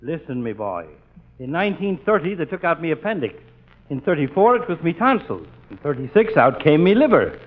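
An actor playing a heavyset, grumbling middle-aged cop in a 1940s radio sitcom. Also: male voice, a little high, sing-song, brisk. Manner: grumbling, stage-Irish phrasing. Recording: muffled old radio recording with faint hiss.

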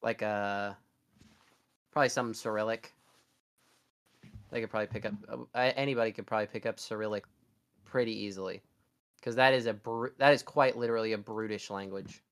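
A man's voice: fairly low pitch, steady tone, a little fast, long pauses, fairly bright tone, clear, resonant tone. A young man co-hosting a podcast, chatting casually in a rambling, sarcastic way with frequent restarts. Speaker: podcast co-host. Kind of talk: casual chat.

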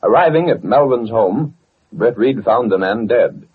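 An actor as a dramatic radio serial announcer, narrating the story in a grave 1940s style. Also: male voice, mid-range voice, animated, measured pace, husky, narrow, tinny audio. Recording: thin, band-limited old radio recording.

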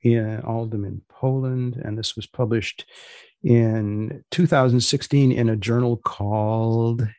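A man hosting a podcast, introducing and asking questions in a deliberate, deadpan manner. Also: medium pitch, no echo. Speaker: podcast host and magazine editor.

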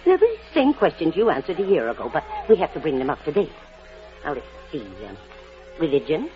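A woman's voice: low voice, sing-song, brisk. A woman playing a kindly, prim female orphanage inspector in a 1940s radio drama, formal and precise. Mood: businesslike. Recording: dull old radio, music behind.

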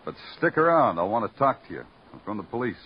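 A man's voice: fairly low pitch, very animated, quick pace, breathy edge. An actor playing a clipped, hard-boiled male police lieutenant who also narrates, in 1940s radio acting. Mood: terse. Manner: clipped, terse. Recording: noisy muffled old radio recording.

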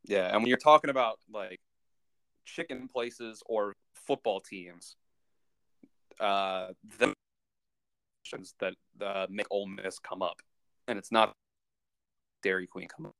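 Guy on a sports podcast, lowish clear voice, kinda halting, thinking out loud. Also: dry recording, low-quality recording. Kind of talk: casual sports chat.

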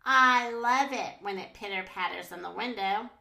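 A woman's voice: mid-range voice, moderate pace, creaky, pushed and bright. A woman voicing a cheerful, brave young female storybook character, childlike and reassuring. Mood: delighted. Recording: roomy, echoey home recording.

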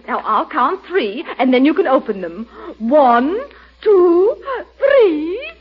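Very high-pitched, fussy, excitable lady. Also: takes it slowly, exaggerated voice. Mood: giddy anticipation. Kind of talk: 1940s radio comedy acting. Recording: dull old radio recording, audience noise.

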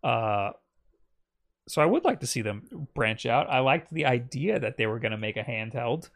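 A man's voice: medium pitch, animated, normal speed, vocal fry, a bit bright. Young man, podcast co-host, casual rambling chat, reads comments aloud.

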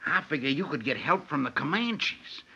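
An actor playing an eager, scheming western outlaw henchman in a radio drama, with a gravelly, sharp voice. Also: a little high, animated, normal speed, exaggerated voice. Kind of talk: old-time western radio drama acting. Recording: muffled vintage radio recording.

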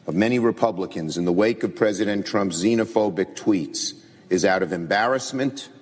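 A man giving a measured, grave speech. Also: fairly low pitch, husky, big-room sound.

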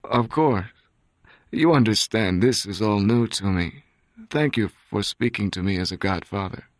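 A man voicing a cool, courteous young immigrant man who speaks softly and reasonably. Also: on the low side, measured pace, no echo, clean studio sound, pitched above the performer's own voice, natural voice.

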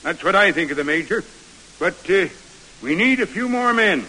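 An actor playing a blustery, folksy trading post keeper in an old-West radio drama from the 1940s. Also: male voice, sits high, lively intonation, normal speed, bright, forceful tone, full character voice. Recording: hissy old radio broadcast, long reverb.

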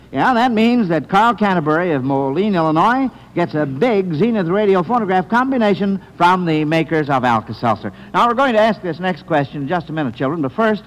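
Man, very high voice, vintage radio quiz host, folksy and paternal, echoing hall. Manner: folksy, addresses children paternally. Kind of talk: 1940s radio quiz hosting.

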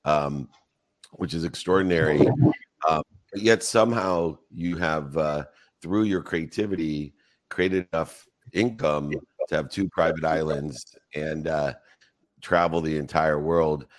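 A man hosting a live audio talk show with an extremely deep, low voice. He speaks effusively, sprinkling in plenty of "uh"s between his thoughts. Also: measured pace. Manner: effusive, full of fillers. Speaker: show host.

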